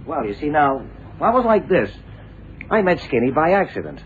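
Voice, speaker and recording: An actor playing an evasive young lawyer in a radio drama, talking in a hesitant, defensive, colloquial way. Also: male voice, fairly high pitch, big pitch swings, normal speed, highs cut off. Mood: defensive, casual. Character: evasive, ambitious young American male lawyer. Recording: thin, noisy 1960s radio drama recording.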